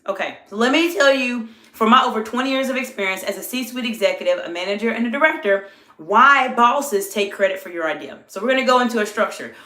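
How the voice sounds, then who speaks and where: A middle-aged woman who is an executive coach, talking live to viewers and their chat while she is under the weather, with her voice lower than usual. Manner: warm greetings to viewers, coaching tone. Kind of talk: live-stream talk to chat. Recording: echoey room.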